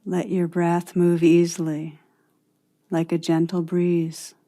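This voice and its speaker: A woman narrating a guided meditation as a teacher, in a soothing, unhurried manner with long pauses between phrases. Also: on the low side, monotone, dark tone.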